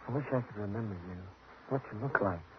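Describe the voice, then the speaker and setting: Evasive, halting killer type played by an actor, 1950s radio drama; lower register, tough and terse, noisy vintage recording. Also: male voice, lo-fi sound, natural voice. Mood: probing. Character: guarded, secretly murderous tough guy.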